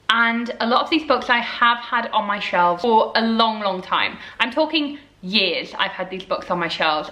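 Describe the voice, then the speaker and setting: A woman's voice: mid-range voice, pushed and bright. A woman in her twenties chatting casually to camera as a book vlogger, rambling with lots of fillers. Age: 20s. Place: indoors at home, in front of bookshelves. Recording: echoey room.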